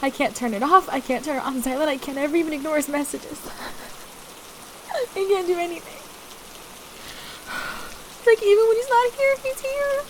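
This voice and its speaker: Casting: actress as a high-pitched, distraught woman trapped with a controlling partner, pleading and halting, intimate roleplay drama, steady rain noise. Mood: bitter, then aggrieved. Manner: pleading, halting, repeating herself.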